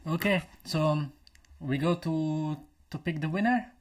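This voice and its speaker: A man from Lebanon whose first language is Arabic, hosting a casual live stream chat over a video call, pausing and restarting as he looks for the right English words. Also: a little high, crowd noise. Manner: hesitant, restarts while searching for English words. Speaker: channel host.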